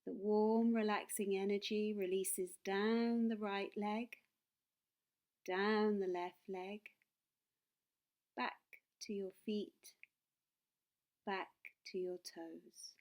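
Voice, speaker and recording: A woman guides a meditation at a very slow pace. Her voice sits at a medium pitch and she sounds calm and soothing. She delivers short, deliberate phrases with pauses between them. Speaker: meditation and yoga teacher.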